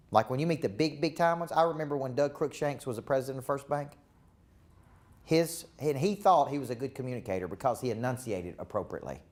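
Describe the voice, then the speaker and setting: A man's voice: medium pitch, darkish tone. A man with a Southern accent giving a live seminar talk as a leadership coach, emphatic and repetitive with a preacher-like cadence.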